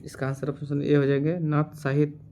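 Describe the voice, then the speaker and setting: A man teaching through exam quiz questions, reading options and repeating answers deliberately. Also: a little high, completely flat delivery, warm tone, a little hiss or hum.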